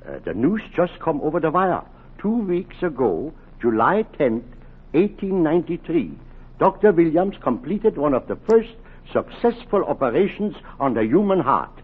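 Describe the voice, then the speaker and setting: A flustered, earnest professor in a vintage radio play. His voice is fairly high and husky, lively in pitch, with a stammering delivery, heard through a narrow, crackly old broadcast recording. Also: moderate pace. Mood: earnest, proud. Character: excitable, well-meaning male German professor of medicine. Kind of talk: 1940s radio drama acting.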